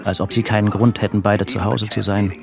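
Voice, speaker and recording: A man's voice: lowish voice, flat delivery, a little fast, gravelly. A man narrating a radio play in a literary, restrained and introspective way, with music behind him. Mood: measured, reflective. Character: restrained, introspective male narrator of a crime novel.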